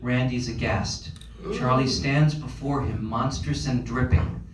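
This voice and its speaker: A man's voice: medium pitch, steady tone, unhurried. A man narrating stage directions from a script at a live comedy table read. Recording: echoey room, distant mic, noisy.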